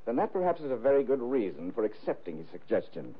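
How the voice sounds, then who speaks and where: A pompous gentleman crook, acted by a man in a vintage radio mystery, on a narrow recording with faint noise. His ornate, fussy speech swings dramatically up and down as he imperiously orders his associates about. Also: medium pitch, very animated, fast, close to an everyday voice. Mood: fussy, calculating. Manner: pompous, ornate, hesitating with ums. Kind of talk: 1950s radio mystery acting.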